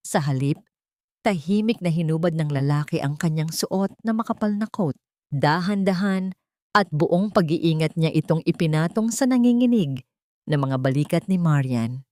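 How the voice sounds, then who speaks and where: A woman with a low-pitched voice narrates a scripted romantic audio drama. She reads in a storytelling style, and the recording is dry, without room sound. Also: moderate pace, warm tone, no room sound.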